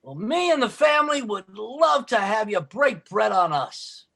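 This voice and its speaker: An actor playing a sly, wheedling, ambitious factory worker in an old-time radio sitcom, with wisecracking, folksy speech. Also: male voice, high-pitched, animated, unhurried, vocal fry, pushed and bright, exaggerated voice.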